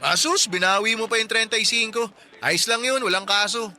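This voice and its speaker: A man's voice: sits high, a little fast, pushed and bright, soft background music, full character voice. An actor in his twenties playing a friendly, chatty working-class neighbor who jokes and offers help, in a radio drama. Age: 20s. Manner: chatty, joking, helpful. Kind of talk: Tagalog radio drama acting.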